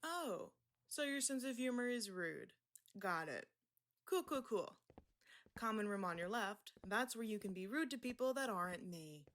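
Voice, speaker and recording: Jaded fellow patient, audio drama. Female, mid-range pitch, wry, offhand, teasing guide. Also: lively intonation, unhurried, a bit bright. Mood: sarcastic. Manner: sarcastic, casual. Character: wry, breezy fellow patient showing a newcomer around.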